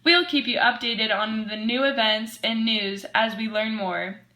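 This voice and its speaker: A woman's voice: fairly high pitch, unhurried, bright, forceful tone. A woman playing an earnest news anchor in a scripted amateur 1920s radio broadcast.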